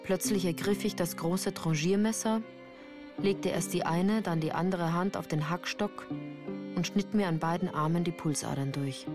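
Actress as a grave low-voiced woman narrator. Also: moderate pace, music in the background, lightly acted. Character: grave woman looking back on a hard life. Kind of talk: literary radio drama narration.

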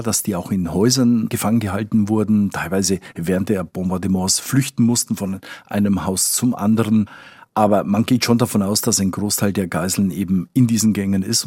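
A man's voice: lowish voice, darkish tone, breathy edge, dry recording, studio quality. A man working as a correspondent, explaining things conversationally in an interview and repeating words for emphasis. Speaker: foreign correspondent.

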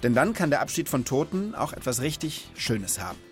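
A man's voice: mid-range voice, big pitch swings, brisk, over loud music. A man in his thirties hosting a children's podcast, chatting and telling personal stories. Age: 30s. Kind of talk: conversational storytelling and chat for children.

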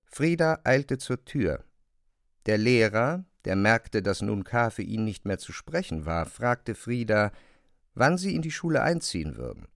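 A man's voice: on the low side, big pitch swings, a little slow, gravelly, no echo, studio quality. A man narrating a classic novel as scripted literary prose.

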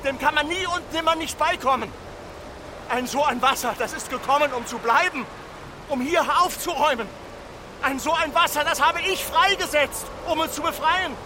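Urgent, very high-voiced village mayor. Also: male voice, moderate pace. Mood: imploring, ominous. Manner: insistent, incantatory repetition. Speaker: actor. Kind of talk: radio drama acting. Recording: clear background noise, vehicle sounds.

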